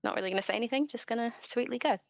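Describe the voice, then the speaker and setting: A woman's voice: on the high side, very fast, gravelly, dry recording. Hesitant woman role-playing, dry close sound. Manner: hesitant, informal. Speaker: tabletop game player. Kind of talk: casual improvised role-play.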